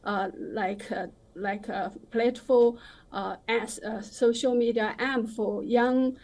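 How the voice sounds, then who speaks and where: A woman speaking as a commentator in a live news interview. Her voice sits somewhat high, and she talks slowly, with halting phrasing and firm opinions. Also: fairly even intonation, darkish tone. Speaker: interview guest, commentator on China.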